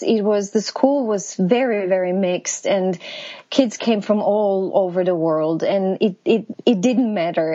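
Woman, earnest and halting. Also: medium pitch, mellow, lo-fi sound. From Croatia. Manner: earnest, stumbling restarts. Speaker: guest. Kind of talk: interview conversation.

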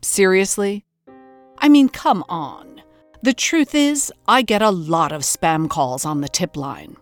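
Animated wry woman podcast investigator played by an actress. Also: lowish voice, slow, soft background music. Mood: wry, intrigued. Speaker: host. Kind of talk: scripted documentary podcast narration.

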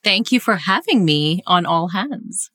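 A woman's voice: fairly low pitch, expressive, measured pace, fairly bright tone, no echo, studio quality. A woman from Singapore, a guest author on a podcast interview, speaking with passionate emphasis and frequent restarts.

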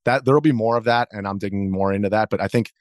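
An American man speaking as an interview guest, explaining things in a measured, conversational way. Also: lowish voice, no echo, studio quality. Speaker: interview guest, video journalist.

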